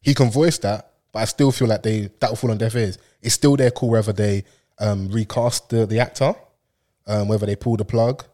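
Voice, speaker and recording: A man's voice: on the low side, fairly even intonation, moderate pace, mellow. A man with a London accent and a slight lisp, hosting a podcast in casual, slangy, joking banter. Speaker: podcast host.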